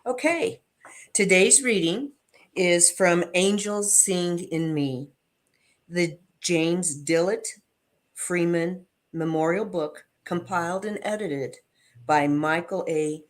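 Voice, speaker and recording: Slow, low-voiced woman reading aloud. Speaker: affirmation and reading leader. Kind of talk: scripted inspirational reading.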